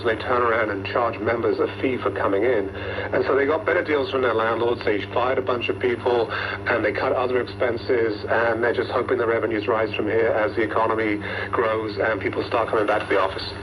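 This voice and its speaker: A man speaking as a journalist being interviewed, explaining things off the cuff, heard over shortwave radio. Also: medium pitch. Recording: noisy shortwave radio reception.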